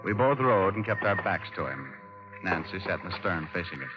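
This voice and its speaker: A tough, wry private eye, tells his case in a low, brisk voice. The phrasing is clipped and hard-boiled. It sounds like a dull vintage radio broadcast, with loud music. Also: big pitch swings. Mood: terse narrating. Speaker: lead actor and narrator. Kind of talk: 1940s-50s radio detective drama acting.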